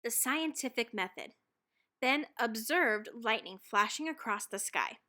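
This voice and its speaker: A woman reading a children's book aloud as narrator, expressively and dramatically, leaning on key words and pausing for effect. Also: on the high side, lively intonation, pushed and bright. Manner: dramatic, with stressed words and pauses. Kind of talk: expressive scripted storybook reading.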